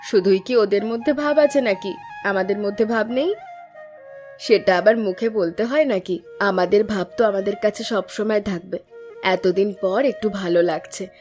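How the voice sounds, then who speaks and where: A fisherman's wife from a village cartoon folk tale, acted by a woman. She talks in a chatty, homely way, ready to grumble or suspect, at a middling pitch. Faint music plays behind her. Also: a little mellow, natural voice. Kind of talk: animated folk-tale dialogue.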